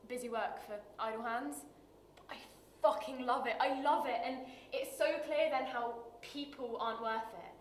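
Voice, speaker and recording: A woman acting a dramatic screen monologue as a bitter, wounded working-class woman, confessional and halting, with weighted pauses. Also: fairly high pitch, lightly acted. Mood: reflective, weary. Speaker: actor performing a monologue. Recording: roomy echo with light background noise.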